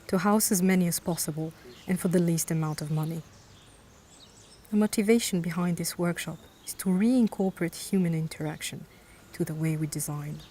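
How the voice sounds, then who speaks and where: A woman with a fairly low voice explains in a measured, earnest tone. Faint outdoor noise sits behind her. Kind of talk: scripted explanation.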